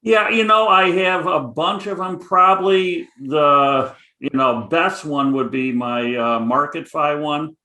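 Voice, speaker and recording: A man's voice: a little high, takes it slowly, hardly any pauses, bright, forceful tone, reverberant. A middle-aged man with a Chicago accent, a guest options trader talking casually, rambling with many fillers. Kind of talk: casual interview chat.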